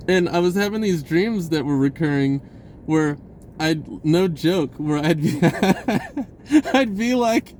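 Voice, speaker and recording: A man telling a personal story in a casual, rambling, informal way with an animated, giggly delivery. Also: a little high, slow pace, a little hiss or hum.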